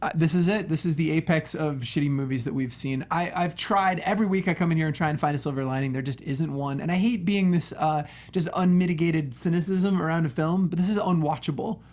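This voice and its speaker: A man's voice: fairly high pitch, a little fast, few pauses, darkish tone. A man co-hosting a casual panel discussion, talking in a slangy, exasperated, exaggerating way. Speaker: panel co-host of a film review show.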